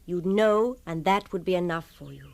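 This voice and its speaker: An actress playing a devoted, perceptive gentlewoman, frank and steadfast, with measured literary phrasing in a ghost-story drama. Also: on the low side, vocal fry. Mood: tender, knowing.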